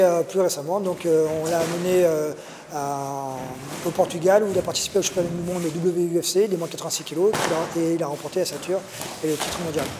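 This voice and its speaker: A man's voice: a little high, big-room sound, noisy background. A man, a martial arts coach, answering interview questions in a gym, hesitant with many fillers and restarts.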